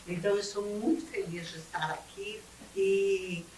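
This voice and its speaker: A woman, giving a measured panel presentation as an engineer. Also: medium pitch, steady tone, darkish tone, slight background noise, rough recording. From Rio Grande do Sul, Brazil. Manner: measured, slide-by-slide presenting with frequent "né?". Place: event hall, seated at a panel table.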